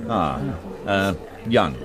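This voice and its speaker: A man's voice: deep voice, expressive, drawn-out words, crowd noise, heavily acted. An actor playing a world-weary senior male police inspector in a British radio crime drama, with a ponderous, wryly genial manner.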